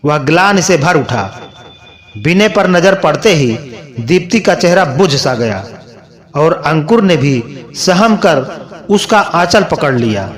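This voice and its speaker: Male storyteller, slow unhurried pace, widely varied intonation, scripted sentimental narration, measured reading. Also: a little high, big pitch swings, fairly bright tone.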